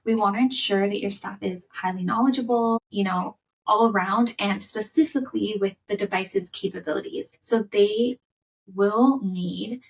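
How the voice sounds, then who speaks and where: A woman hosting a solo business podcast, giving conversational coaching advice with a slightly raspy voice from coming down sick. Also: medium pitch, moderate pace, far from the mic, poor audio. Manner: conversational, repeats words and restarts, upbeat coaching.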